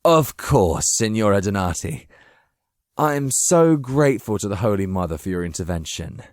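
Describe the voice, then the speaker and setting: A man voicing an anxious, deferential former captive in an audio drama, effusive and halting, with formal courtesy. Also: on the low side, animated, no echo, studio quality, natural voice. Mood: obsequious, nervous. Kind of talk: dramatic audiobook character acting.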